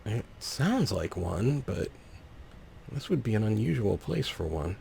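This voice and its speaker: A man's voice: fairly low pitch, big pitch swings, unhurried, creaky, warm tone, slight background noise. A man giving casual gameplay commentary, thinking aloud in a whimsical, musing way. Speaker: let's player, host.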